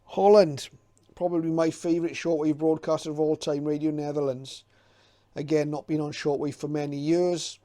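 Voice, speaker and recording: A man's voice: a little high, steady tone, moderate pace, mellow, no echo. A middle-aged man presenting in a casual, unscripted way, with a hesitant, reflective and informative manner, as a hobbyist leafing through material.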